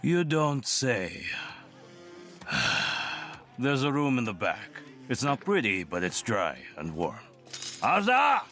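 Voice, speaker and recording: An actor playing a gruff, sardonic innkeeper husband in an audio adventure drama. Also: male voice, mid-range voice, huge pitch swings, slow, bright, forceful tone, exaggerated voice. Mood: bossy. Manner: haggling, sardonic, blustering at his wife. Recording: faint music behind.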